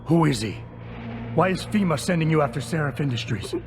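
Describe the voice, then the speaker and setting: An actor playing a gruff, guarded cyborg security man in a video game, gravelly, clipped and deadpan. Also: fairly high pitch, brisk. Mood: menacing, demanding. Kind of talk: video game cutscene acting. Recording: clear background noise.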